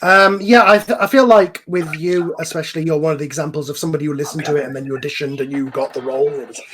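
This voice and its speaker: Guy hosting a group chat online, kinda high voice, informal and rambly, bit sweary. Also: steady tone, racing, a bit bright, slight background noise, rough recording. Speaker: host and series creator. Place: online voice call.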